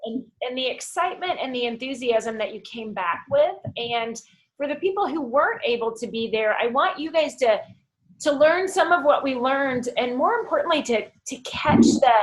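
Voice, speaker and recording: Woman, upbeat team-call host, slightly high voice, chatty with fillers. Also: moderate pace, rough recording. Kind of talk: casual group phone call.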